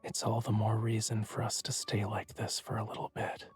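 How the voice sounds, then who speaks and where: An actor playing a teasing, haughty young man in an intimate romance game, with a wry, unhurried delivery. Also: lowish voice, monotone, soft background music, lightly acted. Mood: tender. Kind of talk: English game dub, intimate romance acting.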